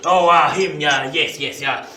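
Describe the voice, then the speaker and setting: A menacing, hungry runaway convict in a radio drama, speaking a rough rustic dialect in a fairly high voice. He sounds suspicious and gruff. The recording is roomy and off the microphone. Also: fairly even intonation, unhurried, pushed and bright, far from the mic, a little hiss or hum. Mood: wary, gruff. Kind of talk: radio play acting.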